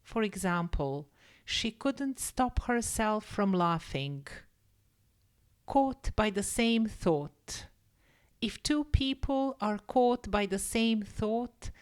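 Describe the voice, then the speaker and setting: A woman English teacher reading a scripted story and vocabulary lesson in a measured, careful, explanatory manner. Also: medium pitch, lively intonation, clean tone.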